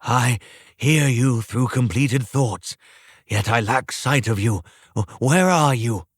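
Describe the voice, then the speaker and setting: A man's voice: medium pitch, takes it slowly, no echo, clean studio sound. A man playing a bitter, bewildered old man in a storybook reading, with formal, old-fashioned speech. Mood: sorrowful, bewildered.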